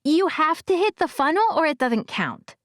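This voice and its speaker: Little kid with a lisp, high and eager, storybook style, played by a woman narrator. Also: sing-song, normal speed, a bit bright, no room sound, studio quality. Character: younger boy with a lisp.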